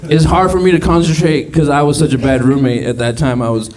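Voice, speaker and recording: A male stand-up comic in a club, slightly higher-pitched than most men, talking over a loud laughing crowd. He is awkward and self-mocking, needles people in the front rows and swears freely. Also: steady tone, fairly quick. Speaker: stand-up comedian. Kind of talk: stand-up set with crowd work. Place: comedy club stage. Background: audience laughter.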